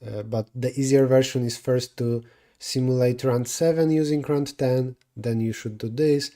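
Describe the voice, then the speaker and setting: Mellow-voiced Polish man thinking aloud. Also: medium pitch, fairly even intonation, moderate pace. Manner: thinking aloud, self-correcting mid-sentence. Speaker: streamer solving coding problems. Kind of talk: live coding stream, answering chat.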